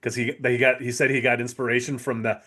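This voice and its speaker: A man's voice: mid-range voice, little pitch movement, fast, sharp tone. A man co-hosting a podcast, chatting informally and appreciatively as he reviews television. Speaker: podcast co-host. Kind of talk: casual TV review chat.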